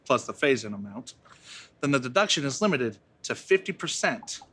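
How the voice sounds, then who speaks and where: A man's voice: mid-range voice, sing-song, normal speed, bright, forceful tone, a little hiss or hum. A man putting on a folksy barkeep. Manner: folksy drawling speech. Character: folksy male barkeep, friendly old-timer. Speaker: bartender.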